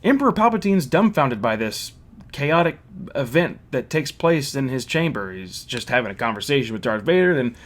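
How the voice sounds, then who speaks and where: A man's voice: mid-range voice, big pitch swings, moderate pace. A man with a mid-range voice reviews and recaps a story in a casual, conversational way. He is blunt and critical, stating his opinions plainly.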